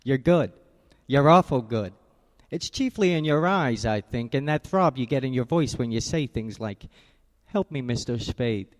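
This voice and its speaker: An actor playing a tough private detective who narrates and talks in a clipped, wry way, in 1940s radio style. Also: male voice, medium pitch, expressive, measured pace, big-room sound, natural voice. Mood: sardonic. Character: hard-boiled male private detective narrator.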